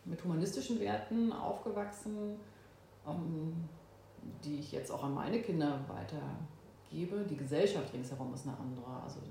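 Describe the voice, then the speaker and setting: A woman in her fifties from Berlin, a guest in an interview, who talks thoughtfully with pauses and fillers. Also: deep voice, lively intonation, vocal fry, mellow, slight background noise. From Berlin, Germany. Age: 50s. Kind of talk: oral history interview. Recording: echoey room.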